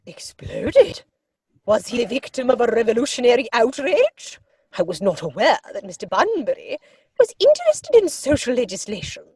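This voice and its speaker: A woman's voice: fairly high pitch, wildly swooping pitch, normal speed, darkish tone, breathy edge, dry recording, heavily acted. A woman playing an imperious, indignant older aristocratic mother in a period stage comedy, with a pompous, commanding manner. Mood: haughty, shocked. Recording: dry home recording over video call.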